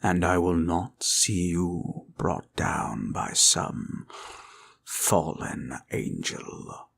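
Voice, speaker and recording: A man voicing a gentle, protective dragon in an intimate roleplay, speaking formally and solemnly, with a gravelly, sometimes breathy voice. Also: sits low, expressive, slight background noise, full character voice. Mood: tender, protective. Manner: slow, solemn, archaic formal phrasing. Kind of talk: intimate comfort roleplay audio.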